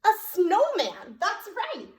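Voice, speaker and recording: A woman speaks in a high, slow voice, as a librarian does at a preschool storytime. Her delivery is sing-song and full of questions for small children. The room adds a noticeable echo. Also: huge pitch swings. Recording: roomy echo.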